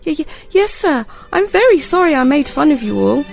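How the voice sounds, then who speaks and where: A woman's voice: sits high, big pitch swings, moderate pace, faint music behind, pitched above the performer's own voice. A woman doing a frightened, stammering little boy. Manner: timid, stammering, pleading. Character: frightened, apologetic young schoolboy.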